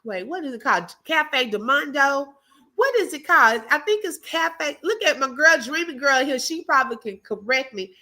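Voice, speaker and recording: A woman with a Texas accent hosts a casual live stream and chats easily with her viewers. Her voice is bright and forceful, pitched a little high, and she laughs between comments. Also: animated, moderate pace. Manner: chatty and laughing, calls viewers baby and girl.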